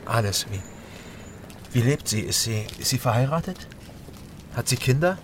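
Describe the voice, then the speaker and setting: An actor playing a sober, watchful East German investigator in a radio crime drama, terse, measured and matter-of-fact. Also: male voice, medium pitch, animated, a little hiss or hum, lightly acted. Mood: guarded, curious. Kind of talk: radio crime drama, dry first-person narration and dialogue.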